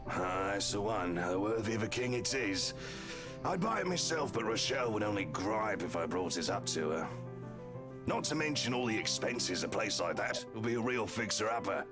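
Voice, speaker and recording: Deep-voiced, boastful, jovial police officer. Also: male voice, big pitch swings, normal speed. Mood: enthusiastic. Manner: chatty, theatrical, teasing. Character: jovial, boastful married police officer, smooth socialite flatterer. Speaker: character voice actor in a visual novel. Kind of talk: voiced game dialogue. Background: music.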